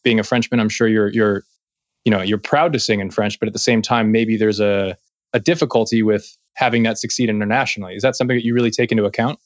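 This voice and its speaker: A man's voice: lowish voice, fairly even intonation, fast, no room sound, dull audio. A man hosting a music interview podcast, chatty and joking, often restarting his sentences. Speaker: podcast host. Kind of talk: casual interview chat.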